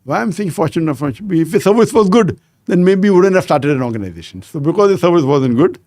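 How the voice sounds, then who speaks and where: A man in his sixties telling anecdotes as a podcast guest, measured and sprinkled with "you know". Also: on the high side, animated, darkish tone, dry recording, studio quality. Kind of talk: conversational storytelling interview.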